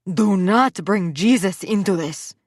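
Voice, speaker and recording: A woman's voice: fairly high pitch, expressive, moderate pace, no room sound, clean studio sound, voice pushed up, natural voice. Woman doing a fiery mother with a Spanish accent. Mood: outraged. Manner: loud, scolding, theatrical. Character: fiery middle-aged Spanish-speaking mother, dramatic. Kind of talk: audiobook character voice.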